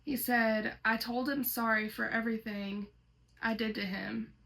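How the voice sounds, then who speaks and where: Woman, slightly high voice, gravelly creak, casual dramatic read-aloud, conversational commentary. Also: fairly even intonation, a little slow, pushed and bright, low-quality recording. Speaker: host reading a horror story aloud.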